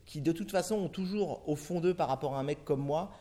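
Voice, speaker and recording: A man's voice: on the high side, fairly quick, mellow. A middle-aged man from France, a film director answering interview questions in long, rambling turns full of restarts and fillers.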